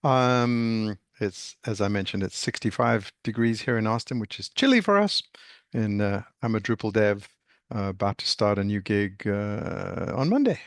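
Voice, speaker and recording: A man from South Africa chatting on a video call, halting and self-deprecating with many fillers. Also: on the low side, animated, smooth, dry recording, clean studio sound. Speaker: meeting participant, developer. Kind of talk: casual video call chat.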